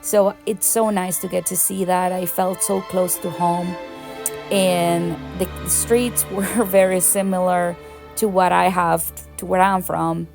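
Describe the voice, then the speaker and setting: Gravelly-voiced woman vlogging her cruise. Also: fairly low pitch, steady tone, measured pace, over music. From Venezuela. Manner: chatty, enthusiastic, laughs often. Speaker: travel vlogger. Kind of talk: casual travel vlog voice-over.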